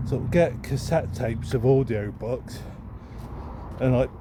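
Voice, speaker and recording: Man, medium pitch, casual vlog, rambling, thinking aloud, recorded walking on a street, noticeable vehicle noise. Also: slow. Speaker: second-hand bookseller and vlogger. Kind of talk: casual unscripted vlog musing. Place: outdoors, walking along a town street. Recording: outdoor street noise with passing traffic. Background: street ambience and vehicles.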